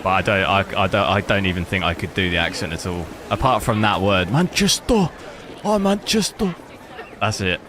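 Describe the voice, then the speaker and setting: A young man with a southern English accent, a touch of Cockney in his vowels, rambles through casual game commentary. His lowish voice swings around a lot as he chats. Loud constant noise sits behind him. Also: lively intonation, moderate pace. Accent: southern English, slightly Cockney. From South Coast of England. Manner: rambling, chatty, answering chat. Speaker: gaming streamer. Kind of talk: casual live commentary while playing.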